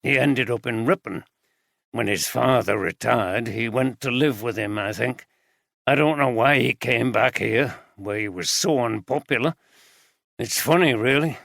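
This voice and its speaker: A man's voice: medium pitch, a little slow, gravelly, fairly bright tone, no echo, clean studio sound. A man voicing a scornful, plain-spoken brewery cooper. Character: scornful middle-aged male brewery cooper.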